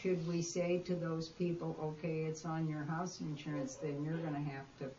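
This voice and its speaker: A woman's voice: sits low, flat delivery, normal speed, warm tone. A woman asking informal questions during a public meeting in a hall. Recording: noisy, muffled, echoey, distant room recording.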